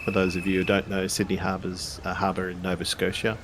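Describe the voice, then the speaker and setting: A man narrating a tabletop game as game master, improvising in a halting way as if thinking aloud. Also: deep voice, completely flat delivery, background noise.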